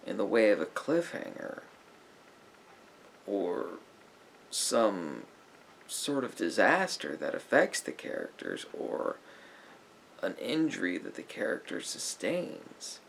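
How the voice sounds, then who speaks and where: Soft-spoken ASMR roleplay with a man acting as a patient, probing male therapist. His low, gravelly voice moves very slowly and haltingly, sinking at times into breathy murmurs. There is steady room noise behind him. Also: full character voice. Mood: probing, puzzled. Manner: halting, deliberate phrasing with pauses and reflective murmurs.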